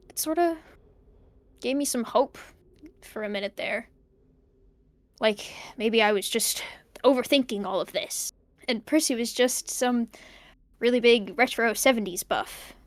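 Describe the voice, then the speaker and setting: A woman plays an uneasy young narrator of an audio drama diary. The voice sits fairly high, in a very dry recording. The delivery is hesitant and rambling, turning things over pensively. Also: dry recording, close to an everyday voice. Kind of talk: audio drama monologue, found-tape diary.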